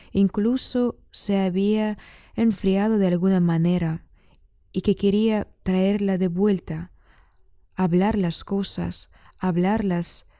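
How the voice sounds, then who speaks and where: A woman narrates a scripted dramatic story, reading aloud in a measured way with pauses. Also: medium pitch, dark tone, no room sound, studio quality.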